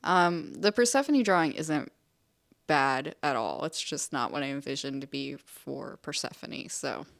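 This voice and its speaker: A woman's voice: a bass voice, expressive, a little slow, creaky, dry recording. A young woman artist chatting casually in a vlog, a little nasally from a cold, with frequent ums and likes. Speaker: artist vlogger.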